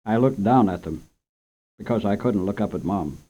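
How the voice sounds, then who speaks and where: A man's voice: on the low side, animated, moderate pace, dark tone. Man reading a story on old radio. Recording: dull lo-fi old radio recording.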